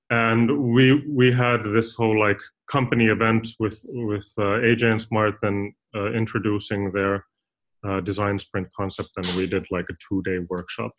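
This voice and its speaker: Man, halting and rambling. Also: on the low side, rough recording. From Finland. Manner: rambling, halting, often restarting words. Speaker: guest, design consultant. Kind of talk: casual interview talk.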